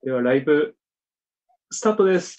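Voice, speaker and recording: A man's voice: fairly high pitch, expressive, a little fast, a little mellow, rough recording. A man on a video call, casually chatting as he runs the sound for an online comedy show. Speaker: show member running the stream's sound. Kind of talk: casual technical chat.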